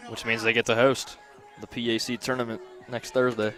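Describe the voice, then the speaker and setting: A man giving conversational color commentary on a live softball broadcast. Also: medium pitch, level tone, fairly quick, soft background music. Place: outdoors at a ballfield, on a platform by tables.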